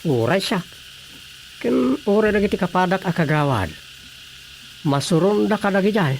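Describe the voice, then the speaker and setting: A man's voice: high-pitched, lively intonation, normal speed, vocal fry, slight background noise. Man acting a grumbling village councillor. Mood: disapproving. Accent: Filipino. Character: disapproving village councillor siding with the chairman. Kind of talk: radio drama comedy acting.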